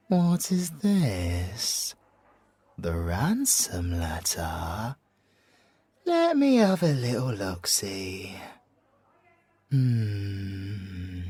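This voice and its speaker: A man's voice: low-pitched, a little mellow, breathy edge, no echo, heavily acted. A man with a British accent playing a suave, flirtatious former special forces soldier in an ASMR audio roleplay, teasing and theatrical, drawing out his words and slipping into whispers. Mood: playful, intrigued. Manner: teasing, drawn-out, theatrical delivery with whispered asides. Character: suave, flirtatious, possessive male ex-special-forces bomb expert.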